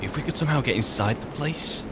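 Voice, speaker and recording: A man's voice: medium pitch, expressive, fast, background noise, natural voice. A man playing a wry adventurer, musing aloud to himself and his companions as he roleplays a fantasy video game. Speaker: player roleplaying a game character on a let's play channel. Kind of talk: in-character improvised game narration.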